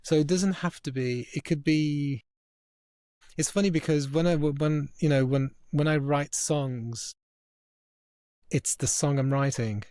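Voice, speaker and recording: A man's voice: fairly high pitch, warm tone, smooth, dry recording, clean studio sound. A man from Italy with a faint northern English accent, a photographer guest talking in a casual interview with lots of restarts and fillers. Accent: English with a slight northern tinge. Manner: halting, many restarts and fillers.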